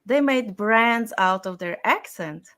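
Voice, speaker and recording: A woman speaking as an expert guest in an interview, explaining her points deliberately in short, emphatic phrases. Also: fairly high pitch, expressive, sharp tone. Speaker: style and communication expert guest.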